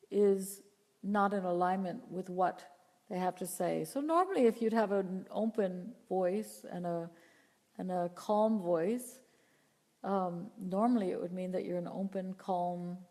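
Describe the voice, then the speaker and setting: A woman voice teacher answering viewer questions live, talking in an unhurried, earnest way and often repeating or restarting her phrases. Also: mid-range voice, a little mellow, big-room sound. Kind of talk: live Q&A talk, reading chat questions.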